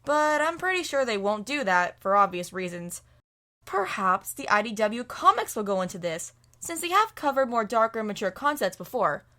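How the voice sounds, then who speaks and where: A woman's voice: on the high side, sing-song, normal speed, bright, forceful tone. A woman giving scripted analysis commentary as a guest fan commentator.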